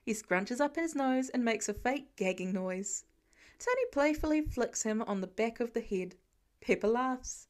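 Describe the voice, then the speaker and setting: A woman's voice: on the high side, animated, a little slow. A woman narrating a scripted story as an amateur audiobook reader.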